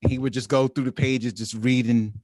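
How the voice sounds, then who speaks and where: A man's voice: medium pitch, little pitch movement, a little fast, darkish tone, no echo. A man from New York with a New York accent, hosting a casual podcast interview with frequent agreement and laughs. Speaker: podcast host.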